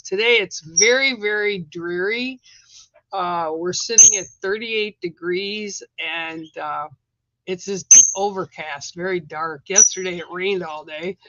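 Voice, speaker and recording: A live-stream host with a rough, hoarse voice, chatting casually with viewers and greeting them by name between coughs. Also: medium pitch, pushed and bright, no echo, lo-fi sound.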